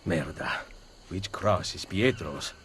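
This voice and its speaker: An actor playing a grim, controlled middle-aged assassin with an Italian accent, terse and commanding, in a video game. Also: male voice, deep voice, lively intonation, slow, fairly bright tone, husky, exaggerated voice. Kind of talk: dramatic game acting.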